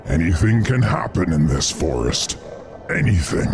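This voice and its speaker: A sinister wolf lord of an enchanted forest, acted by a man in a fantasy audio story. He is bossy and grave, with a lowish, creaky voice that swings in pitch as he commands at an unhurried pace. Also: sing-song, faint music behind, exaggerated voice. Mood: grave, brooding.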